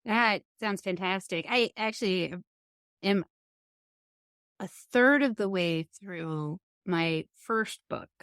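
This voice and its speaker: A middle-aged woman hosting a podcast, speaking earnestly and thoughtfully in candid conversation, often pausing mid-thought. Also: mid-range voice, fairly bright tone, clear, resonant tone, no echo, studio quality. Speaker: podcast host.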